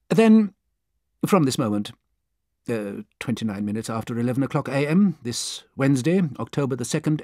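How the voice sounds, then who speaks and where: A man playing a precise, coolly exacting English gentleman in an audiobook, clipped and formal. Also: mid-range voice, no room sound, clean studio sound, close to an everyday voice.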